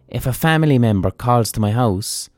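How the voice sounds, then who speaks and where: A man's voice: medium pitch, expressive, moderate pace, darkish tone, no room sound. A man with an Irish accent hosting a podcast, talking bluntly in a casual monologue, swearing freely and thinking aloud as he goes. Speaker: podcast host.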